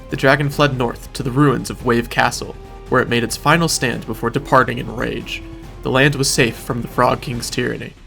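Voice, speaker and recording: A man's voice: mid-range voice, gravelly, a bit bright. A man narrating a scripted comedic recap in a wry, informal, mock-epic storytelling way. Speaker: video narrator.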